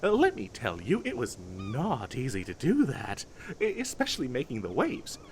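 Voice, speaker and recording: A man's voice: mid-range voice, wildly swooping pitch, moderate pace, a little hiss or hum. A man playing an eager, pushy wizard salesman in a comedic fantasy roleplay, chatty and stammering through his sales patter. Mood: enthusiastic.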